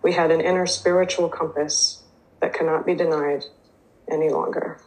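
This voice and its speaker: A woman's voice: low voice, steady tone, moderate pace, a little mellow, low-quality recording. A woman whose first language is Hebrew, reading recovery literature and then sharing her own story hesitantly, with many fillers. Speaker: twelve-step program member sharing. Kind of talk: reading aloud, then personal recovery share.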